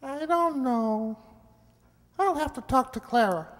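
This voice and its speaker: A man's voice: extremely high pitch, takes it slowly. A man voicing a small talking mouse, a naive, folksy family man in a radio play. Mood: hesitant, timid. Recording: echoey hall with long reverb.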